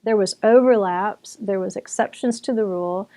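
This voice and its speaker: Mellow-voiced woman teaching in easy chat. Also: mid-range voice, moderate pace. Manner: chatty, with restarts and occasional laughter. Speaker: author and Bible teacher. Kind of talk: relaxed conversational teaching.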